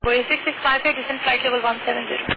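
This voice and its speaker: High-voiced female air traffic controller on radio. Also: steady tone, gravelly. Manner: polite, halting phrasing. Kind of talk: radio calls to aircraft. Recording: noisy, tinny radio channel.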